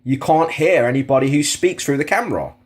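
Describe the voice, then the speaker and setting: A man's voice: medium pitch, brisk. British man, plain-spoken product reviewer. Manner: plain-spoken, matter-of-fact complaining. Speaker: product reviewer on a video channel.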